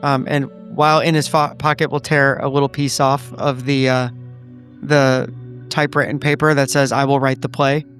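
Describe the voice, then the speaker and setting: A man's voice: mid-range voice, flat delivery, normal speed, a bit bright, faint music behind. A man playing a tabletop game, narrating his own character's actions in the third person.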